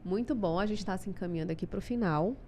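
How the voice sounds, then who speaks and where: A woman's voice: medium pitch, fast talker, a little mellow, a little hiss or hum. A woman hosting a podcast interview, speaking in a friendly, upbeat way from a cold studio room. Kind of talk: casual podcast chat.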